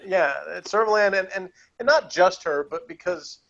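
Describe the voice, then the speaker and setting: A man's voice: high-pitched, moderate pace, fairly bright tone. A man talking casually as a reviewer in an informal discussion. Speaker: podcast reviewer. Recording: slightly dull, muffled audio.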